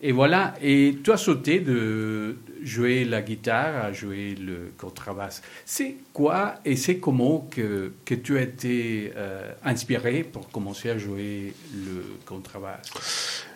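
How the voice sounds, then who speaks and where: A man's voice: medium pitch, takes it slowly. A man hosting an interview, switching languages as he introduces his guest and asks questions. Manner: switches languages mid-sentence, lively. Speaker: interviewer and host.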